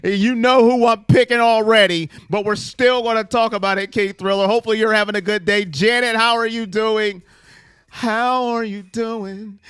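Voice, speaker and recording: High, bright-voiced hoarse man host. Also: dry recording, studio quality. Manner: animated, teasing chat with viewers. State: hoarse from yelling. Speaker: sports livestream host. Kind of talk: live fan pregame chat.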